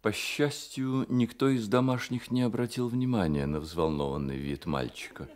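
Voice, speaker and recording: A man's voice: lowish voice, normal speed, darkish tone. A man reading literary narration as the storyteller of a radio play.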